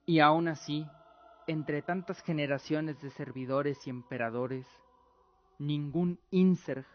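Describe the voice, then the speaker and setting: A man's voice: a little high, slow pace, a little hiss or hum. A man narrating a scripted radio drama in a solemn, theatrical way. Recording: muffled lo-fi audio, music behind. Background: music.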